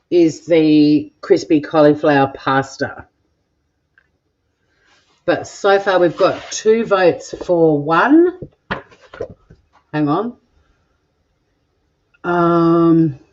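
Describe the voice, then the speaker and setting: A woman's voice: low voice, lively intonation, drawn-out words, pauses often, darkish tone. A middle-aged Australian woman hosting a cooking stream from her home kitchen, chatting with viewers in a playful way and swearing now and then. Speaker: cooking vlog host. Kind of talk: casual chat, live cooking stream reading viewer comments. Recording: dull lo-fi home recording.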